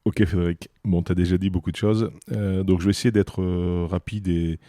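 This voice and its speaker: Deep-voiced Frenchman in his fifties. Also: steady tone, normal speed, mellow, no echo, studio quality. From northern France. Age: 50s. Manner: chatty, many fillers and restarts. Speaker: guest, business owner. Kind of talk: casual interview talk.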